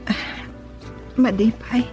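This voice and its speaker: A woman's voice: takes it slowly, dark tone, lightly acted. An actress playing a weak, ailing young woman pleading in a radio drama, with a Filipino accent. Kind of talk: Ilocano radio drama acting. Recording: dry studio, faint music behind.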